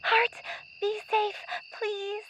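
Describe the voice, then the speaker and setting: A woman's voice: very high voice, little pitch movement, very slow, noisy background, heavily acted. A woman playing an earnest, hopeful young girl castaway in a radio play. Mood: anxious, loving.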